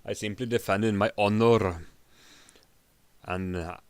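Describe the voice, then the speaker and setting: A man's voice: fairly low pitch, steady tone, slow, a little mellow, smooth, no echo. A man voicing a proud barbarian prisoner, declaiming indignantly in a fantasy story reading. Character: proud, defiant male barbarian warrior prisoner.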